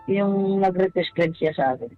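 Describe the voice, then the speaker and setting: A man phoning a radio advice show, telling a personal story haltingly, stumbling over and repeating words. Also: sits high. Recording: dull lo-fi call, music behind. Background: background music.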